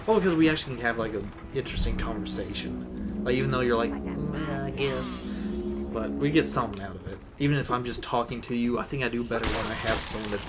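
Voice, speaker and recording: A man's voice: medium pitch, fast. A young man hosting his gaming channel, laid-back and rambling, swearing freely as he chats with a friend. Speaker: channel host. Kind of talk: casual gameplay chat. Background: game music.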